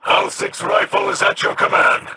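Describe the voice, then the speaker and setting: A man voicing an honorable alien captain in a video game, speaking formally and sternly in a rasping growl. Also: very high voice, completely flat delivery, moderate pace, background noise, exaggerated voice. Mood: commanding. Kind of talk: video game character dialogue.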